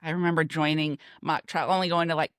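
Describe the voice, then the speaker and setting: An American woman in her fifties chatting casually with friends as a podcast host, in an animated way. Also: sits low, fast, dry recording, clean studio sound.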